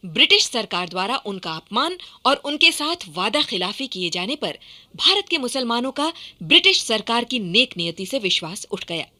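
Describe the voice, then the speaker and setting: Female radio narrator, formal scripted delivery, earnest, bright and fairly high-pitched. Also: very animated, moderate pace.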